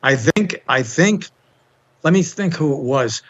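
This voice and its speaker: A man's voice: a little high, animated, a little slow, a bit bright, highs cut off. A man in his seventies from Long Island with a New York accent, chatting as an interview guest and wandering through his memories. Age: 70s. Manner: rambling, restarts and searches for names. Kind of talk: casual interview.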